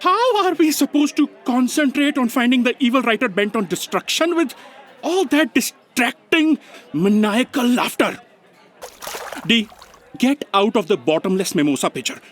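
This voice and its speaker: A man's voice: very high voice, expressive, moderate pace, a bit bright. A man playing a fussy, bossy, theatrical assistant in a comedic audio drama, exclaiming dramatically. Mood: urgent, scolding.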